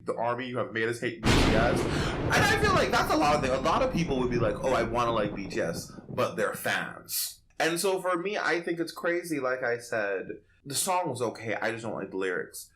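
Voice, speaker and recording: A man's voice: mid-range voice, wildly swooping pitch, brisk, a bit bright, slight background noise, rough recording. A man hosting a podcast in casual, opinionated chat, sassy and slangy with emphatic delivery. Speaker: podcast host.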